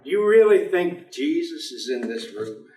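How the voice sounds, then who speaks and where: An actor in a staged play reading, playing a weary, despairing professor who is dry, guarded and easily flustered into protest. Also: male voice, a little high, sing-song, unhurried, a little mellow. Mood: exasperated. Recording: roomy echoing stage, distant microphone.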